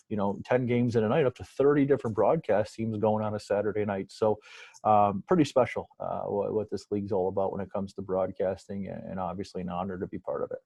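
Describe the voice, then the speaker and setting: A man's voice: lowish voice, vocal fry, mellow, husky, dry recording. An American man from Metro Detroit talking casually as a guest in a podcast interview. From Metro Detroit, USA. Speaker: podcast guest, sports broadcaster.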